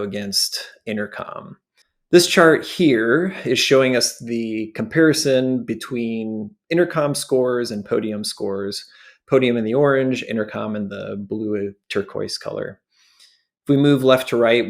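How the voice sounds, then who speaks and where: A man presents a walkthrough in an explanatory way. His voice sits at an average pitch with a good deal of vocal fry, and he breaks his commentary into short phrases. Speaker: show host and presenter.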